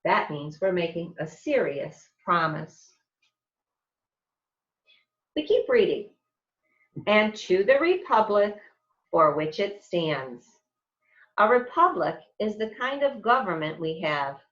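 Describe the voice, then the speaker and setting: A woman with an American accent reads a children's picture book aloud as a teacher, expressively and deliberately, explaining as she goes. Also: mid-range voice, a bit bright, roomy sound, highs cut off. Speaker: teacher reading aloud to second graders.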